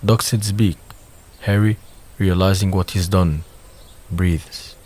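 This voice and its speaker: A man's voice: low voice, fairly even intonation, slow pace, darkish tone. A man reading scripted narration for an audio drama.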